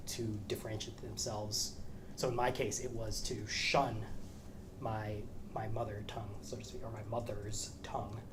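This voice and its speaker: Man musing aloud in a noisy lecture room. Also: mid-range voice. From American Midwest. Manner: thoughtful, hesitant, many restarts. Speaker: lecturer answering questions. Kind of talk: academic Q&A talk.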